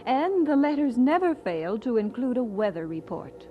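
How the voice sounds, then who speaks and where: A slightly dull recording of an affectionate young bride-to-be in an audio drama. Her voice is on the high side and lively, fond and gently teasing. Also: moderate pace, soft background music. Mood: fond, reflective. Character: affectionate, teasing young bride-to-be who also narrates warmly.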